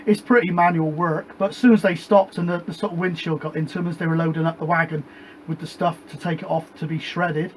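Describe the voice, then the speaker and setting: A British man with a fairly high voice talks easily and at length on a CB net. He wanders from point to point before handing the turn back. Faint noise sits under his voice. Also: fairly even intonation, fast talker, poor audio. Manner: rambling and chatty, ends each turn with "back to you". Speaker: CB radio operator and show host. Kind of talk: casual radio chat on a CB net. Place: home shed radio room with a gas fire.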